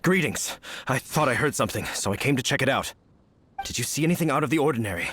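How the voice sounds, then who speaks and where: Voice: medium pitch, lively intonation, fast talker, a bit bright, slightly rough. An actor voicing a polite, earnest city guard knight in a game, courteous and formal. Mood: curious. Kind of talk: game character dialogue.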